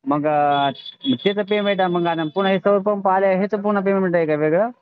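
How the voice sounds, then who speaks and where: A man's voice: high voice, a little fast, clean tone, no room sound. A man on an informal phone call as a customer, hesitant and roundabout in his questions. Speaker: customer calling about a solar pump delivery. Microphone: telephone. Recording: dull lo-fi phone call, faint noise.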